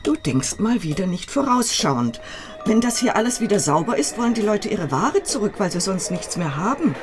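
An old housewife played by a female actor speaks wistfully, fretting and questioning, yet devoted. It is intimate radio drama acting, with music clearly behind her voice. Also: mid-range voice, sing-song, normal speed, lightly acted. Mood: fretful. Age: older. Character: elderly wife, wistful, fretful, devoted, housewifely.

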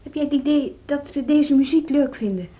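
An actress playing a critical, outspoken deputy head in a naturalistic radio drama, direct and confrontational in manner. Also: female voice, high voice, normal speed, natural voice. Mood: probing, concerned. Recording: dull, muffled radio play recording.

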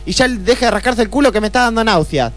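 Casting: male actor as scheming, melodramatic young woman for noir radio comedy, Argentine, high voice. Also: expressive, fast, fairly bright tone. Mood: haughty, accusing. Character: young, scheming, spiteful femme fatale client, female. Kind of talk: comic noir radio play acting.

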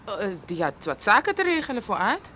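A young mistress on the defensive in a radio drama. Her voice is slightly high and animated, with a hesitant, placating manner. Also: fairly quick, slight background noise. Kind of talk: radio play dialogue.

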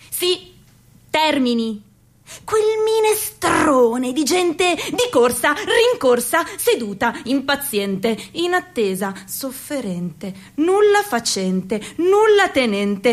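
High-voiced woman in a dramatic radio monologue. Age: young adult. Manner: expressive, shifting between whispers and loud declamation. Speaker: actress performing a theatrical monologue.

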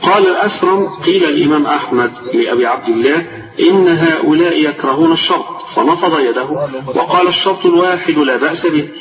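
An Egyptian sheikh with a tired, strained voice gives a religious class, reading slowly and then explaining in everyday speech. He sounds set back from the microphone in a roomy space. The sound is dull and muffled, with music clearly audible behind him. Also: fairly high pitch. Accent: Egyptian Arabic. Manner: reads a text slowly, then explains it in colloquial speech, repeating key phrases. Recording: distant, echoey, dull-sounding lecture recording with music.

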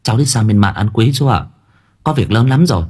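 Man as a flustered, husky village medium woman. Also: medium pitch, lively intonation, fairly quick, darkish tone.

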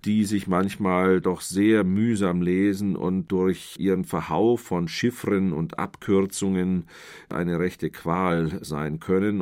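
A man giving explanatory literary commentary as an expert, in a measured, scholarly manner and long sentences. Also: deep voice, darkish tone.

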